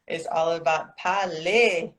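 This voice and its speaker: Brief: woman from South Florida, low-pitched, unhurried, relaxed podcast co-host. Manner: thoughtful, laughs easily. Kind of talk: casual conversational podcast.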